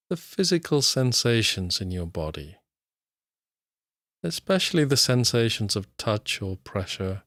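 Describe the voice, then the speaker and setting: A man narrating a guided meditation as an instructor, in measured, unhurried short phrases with long pauses. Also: medium pitch, no echo, studio quality.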